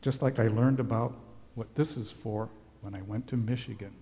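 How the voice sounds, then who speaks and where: A man who grew up in Hawaii, giving a gentle children's sermon as a pastor and asking the children questions. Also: mid-range voice, fairly even intonation, normal speed. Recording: long hall reverb.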